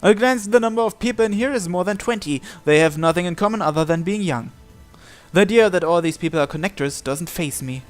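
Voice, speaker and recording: A man's voice: sits high, animated, fast, soft background music. A man reading visual novel narration and dialogue aloud.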